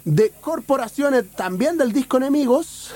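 Hoarse, high-pitched Chilean man. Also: lively intonation, moderate pace, a little mellow. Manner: slangy, sweary, informal. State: hoarse, worn-out throat. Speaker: radio show segment presenter. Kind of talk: casual music radio chat with band introductions.

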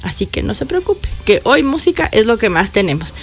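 Chatty woman radio host, higher voice. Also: very animated, brisk, soft background music. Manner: chatty, playful, addresses listeners directly. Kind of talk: casual morning radio chat. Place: radio studio. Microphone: studio microphone.

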